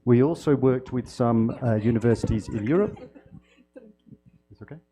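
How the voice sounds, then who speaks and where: Man, guest lecturer, loud voice, mellow tone, friendly and halting, fillers and restarts, lecture hall, handheld mic. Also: mid-range voice. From United Kingdom. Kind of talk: university lecture.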